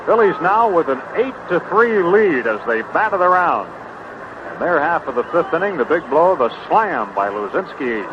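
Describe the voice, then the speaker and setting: A man's voice: fairly high pitch, lo-fi sound. Noisy vintage radio baseball announcer, American man. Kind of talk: live radio sports commentary. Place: open ballpark broadcast booth.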